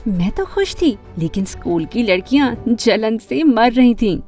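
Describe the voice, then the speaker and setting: Teenage girl, high voice, very lively intonation, ambitious, dramatic cartoon storytelling. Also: a little slow, soft background music. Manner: dramatic, expressive, mixes in English words. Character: ambitious fashion-loving teenage girl telling her story. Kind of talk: animated story narration and dialogue in Hindi.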